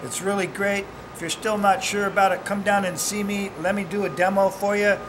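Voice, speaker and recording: A man's voice: high-pitched, level tone, a little fast, noisy background. A man in his fifties, a fishmonger giving an upbeat, joking cooking demo in a small shop kitchen, recorded on a camera mic. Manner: upbeat, joking, salesman-like chatter. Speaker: fishmonger demonstrating seafood.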